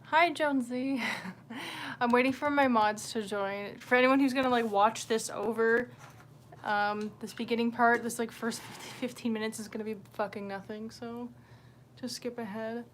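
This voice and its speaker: A woman's voice: on the high side, steady tone, moderate pace, slight background noise. A woman hosting a livestream, chatting casually and off the cuff to viewers in a scattered, sweary way. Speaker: livestream host. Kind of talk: casual unscripted livestream chat.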